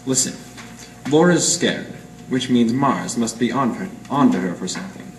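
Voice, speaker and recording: An amateur actor playing a hard-boiled 1940s private eye in a radio noir, clipped, deadpan and slangy. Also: male voice, medium pitch, normal speed, close to an everyday voice. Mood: urgent, commanding. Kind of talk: 1940s radio noir acting with first-person narration. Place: live presentation in a roomy gallery. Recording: echoey room, distant mic, faint noise.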